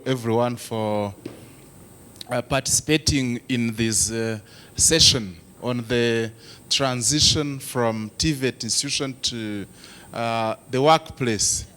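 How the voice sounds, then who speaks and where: A man from Rwanda giving a presentation to a youth forum, asking the audience questions with frequent "uh" hesitations. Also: mid-range voice. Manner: engaging, asks the audience questions. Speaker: lead presenter.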